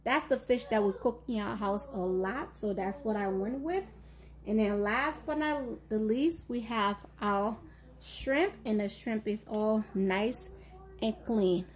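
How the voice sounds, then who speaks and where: A woman's voice: medium pitch, unhurried. A woman chatting casually to her viewers while she cooks in her home kitchen, upbeat and talkative. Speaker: cooking vlogger. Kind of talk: casual cooking chat.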